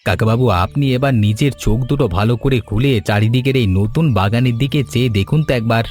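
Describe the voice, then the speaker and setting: A man's voice: mid-range voice, fast, darkish tone, natural voice. A man playing a grateful, humble village butcher in a cartoon story, earnest and respectful in delivery. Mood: earnest, encouraging. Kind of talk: Bengali cartoon story voice acting. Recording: music behind the voice. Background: music.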